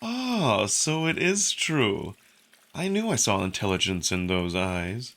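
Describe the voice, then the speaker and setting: A man's voice: lowish voice, very animated, takes it slowly, a bit bright, exaggerated voice. A man voicing a suave, smugly curious male jackal alien in a visual novel, with polite, needling, unruffled delivery. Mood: mock apologetic. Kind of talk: visual novel character acting.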